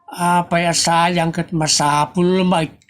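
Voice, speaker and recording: An actor with an Ilocano accent playing a reasonable, teasing husband in a comedy audio drama. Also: male voice, a little high, flat delivery, slow. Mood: matter-of-fact.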